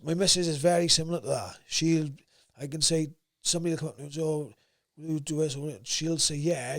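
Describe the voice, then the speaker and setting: A young man with a South Wales valleys accent and a gruff voice, talking bluntly and swearing freely as a podcast guest. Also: fairly high pitch. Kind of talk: casual interview chat.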